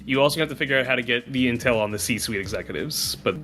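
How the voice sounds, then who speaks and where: A man's voice: medium pitch, fast, sharp tone. Man running a tabletop game over music. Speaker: game master of a tabletop podcast. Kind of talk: casual game narration and plan recap.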